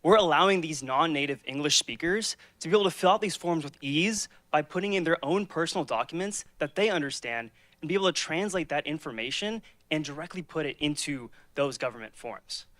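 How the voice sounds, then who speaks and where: A man pitching his team's hackathon app, framing it with a personal story before starting the demo. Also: lively intonation, a little fast, a bit bright, dry recording. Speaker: hackathon presenter.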